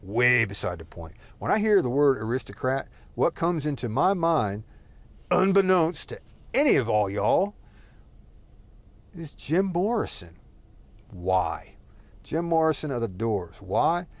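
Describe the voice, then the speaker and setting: A man's voice: mid-range voice, very animated, measured pace, dry recording. A man from Texas talking in a rambling, profane monologue full of fillers and digressions. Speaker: solo talker.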